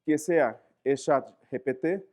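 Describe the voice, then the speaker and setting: A man with a Portuguese accent speaks to a class, his voice a little higher than most men's. He talks with animated energy, throwing out rhetorical questions and pausing now and then to find the right word. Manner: animated, searching for words, frequent rhetorical questions. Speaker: lecturer. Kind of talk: live lecture to students.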